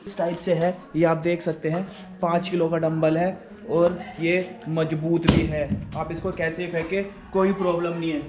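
Man, shopkeeper, sales pitch, gym equipment shop, echoey room, faint noise. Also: flat delivery, moderate pace. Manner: pitches prices with "only".

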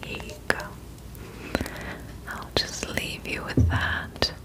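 Young female ASMR performer, soft breathy whisper close on the mic, very unhurried, soothing friendly-witch roleplay. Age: young adult. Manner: slow, gentle, soothing delivery as a friendly witch.

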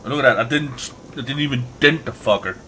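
Muttering male gamer over clear noise. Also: mid-range voice, fast talker, pushed and bright. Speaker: let's play gamer. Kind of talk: casual gameplay commentary. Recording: clear noise and game ambience behind.

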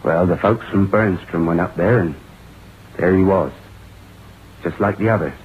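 An actor playing a matter-of-fact police sergeant in an old-time radio drama, speaking in a measured, soothing way. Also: male voice, sits low, lightly acted. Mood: calm, sober. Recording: tinny old radio recording, faint noise.

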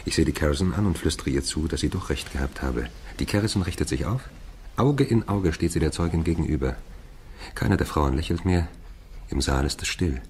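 An actor playing a calm, cautious male lawyer who speaks in a measured, confiding way in a German crime radio play. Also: rumbling low, slight background noise. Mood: tense, hushed narration.

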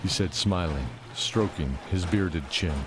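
A man narrating a scripted fantasy drama in a measured, deliberate, dramatic way. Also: sits low, noisy background.

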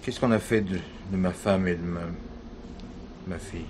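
Casting: worn-out bereaved man played by an actor, very low, dazed with exhaustion, clear background noise. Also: moderate pace, a little mellow, natural voice. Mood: weary, dazed. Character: drained, hungover, grieving married man. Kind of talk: French radio crime drama acting.

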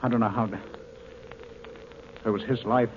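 An actor playing a scientist in danger who speaks urgently and pleads, in an old 1950s radio drama. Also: male voice, on the low side, steady tone, a little slow, a little mellow, lightly acted. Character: male scientist in peril, desperate and earnest. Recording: dull old radio broadcast with faint hiss.

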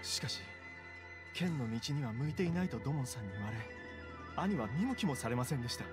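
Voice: medium pitch, natural voice. An anxious, devoted younger brother from an anime game, voiced by an actor, speaks with formal deference and earnest pleading. Faint music sits behind the voice. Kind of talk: Japanese anime game voice acting.

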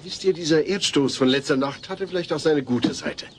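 An actor playing a pedantic, long-suffering family father, formal and measured, in a German sitcom audio play. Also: fairly high pitch, close to an everyday voice. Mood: reassuring, earnest. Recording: faint hiss, old cassette audio.

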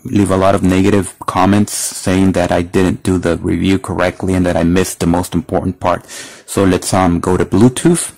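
A man with a fairly low voice talks through a casual product demo. He explains each step plainly, as a reviewer showing a gadget by hand. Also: darkish tone, low-quality recording. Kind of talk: casual hands-on product demonstration. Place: indoors at a wooden table.

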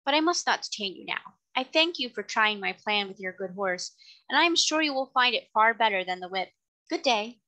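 A woman voicing a kind, gentle lady who speaks politely and earnestly in a storybook reading. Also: a little high, expressive, moderate pace. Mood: gently persuasive. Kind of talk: audiobook character reading.

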